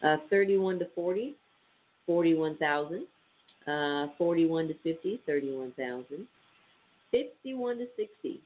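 Stuffy-nosed man hosting call-in radio. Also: on the high side. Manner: chatty, many ums and uhs, reading out numbers. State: stuffy, nasal from allergies. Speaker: radio show host. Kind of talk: casual talk radio, reading statistics. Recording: tinny phone-line sound with faint hiss.

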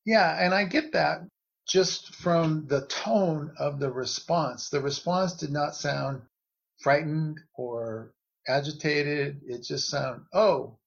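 A man with a fairly high, gravelly voice explains things as a guest analyst. His delivery is slow, measured and tentative, in a conversational style. The sound is a little dull and lo-fi. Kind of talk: casual explanatory commentary.

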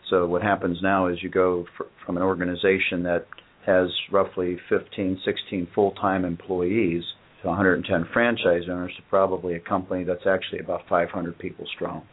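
A low-voiced businessman answers interview questions in a measured, matter-of-fact way, often pausing on "uh" as he explains. The recording is narrow and tinny, like a phone call. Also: little pitch movement. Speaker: company owner and guest.

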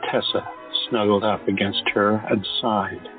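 A man narrates an audio drama with measured storytelling. His voice is on the low side. The sound is thin and phone-like, with clear music underneath. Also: fairly even intonation. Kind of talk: scripted narration.

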